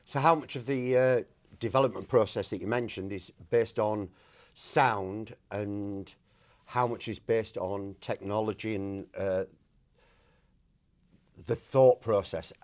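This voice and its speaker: Low-pitched man asking casual interview questions. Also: fairly even intonation, slow, darkish tone.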